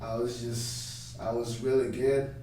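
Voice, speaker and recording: A man's voice: mid-range voice, little pitch movement, slow, warm tone. A young adult man from Malawi giving casual, conversational answers as an interview guest. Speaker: interview guest, former tennis player. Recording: very echoey room, distant mic, some noise.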